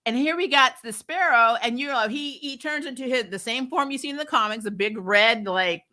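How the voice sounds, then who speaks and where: A woman hosting a casual, opinionated TV recap, animated and sarcastic, with mock quotes and some mild swearing. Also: fairly high pitch, normal speed. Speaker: host of a TV review channel.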